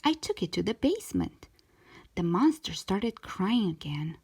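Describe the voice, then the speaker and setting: Animated woman reading a children's story, slower pace. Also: medium pitch, husky. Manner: expressive, drawn-out emphasis for young listeners. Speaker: storytime host reading a children's book aloud.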